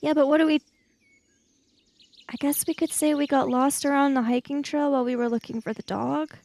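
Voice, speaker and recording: A woman's voice: high-pitched, steady tone, fairly quick, vocal fry, a little mellow, a little hiss or hum. A woman playing a sensible kid in improvised tabletop roleplay, reasoning aloud in a questioning, matter-of-fact way. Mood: uncertain, practical.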